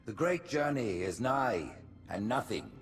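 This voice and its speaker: Casting: stately alien hierarch in a game, measured grand orator with wide-swinging delivery. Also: male voice, medium pitch, big pitch swings, over loud music. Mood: exultant. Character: smooth-talking, grandiose alien prophet leader. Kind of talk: video game cutscene acting.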